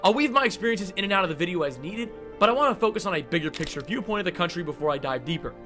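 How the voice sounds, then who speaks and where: A man reading scripted narration for a video essay in a measured, explanatory style. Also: high voice, expressive, a bit bright, faint music behind.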